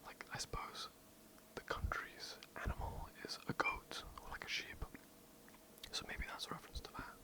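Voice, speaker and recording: A young adult with an English accent, whispering a casual, rambling history overview for ASMR. The talk is loose and self-mocking, with swearing and asides. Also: normal speed. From England. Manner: casual, rambling, swears, giggles at own slips. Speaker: ASMR creator. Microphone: poor quality home mic.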